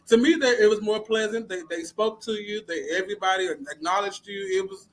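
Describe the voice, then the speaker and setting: A high-pitched male voice in a casual interview. He talks informally as a guest, circling back over phrases to stress his point. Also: fairly quick, poor audio.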